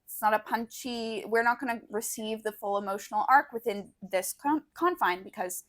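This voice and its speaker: A woman's voice: a little high, normal speed, a bit bright. A young woman co-hosting a podcast, chatting casually in a rambling, slangy way peppered with "like". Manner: slangy, rambling, hedging with frequent "like". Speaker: podcast co-host. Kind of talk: casual conversational podcast chat.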